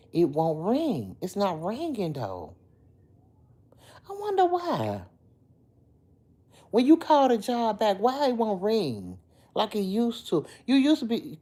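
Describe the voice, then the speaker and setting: Middle-aged woman, deep low voice kinda hoarse, mellow, just chatting on her live with folks. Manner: chatty, talks back to commenters by name. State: a little hoarse. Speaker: livestream host. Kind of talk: casual livestream chat with viewers.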